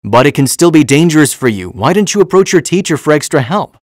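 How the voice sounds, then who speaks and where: A man reading the part of a kindly, concerned older school bus driver in a story, gentle and reassuring. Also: fairly high pitch, sing-song, fast, dry recording, studio quality. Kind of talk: storybook dialogue.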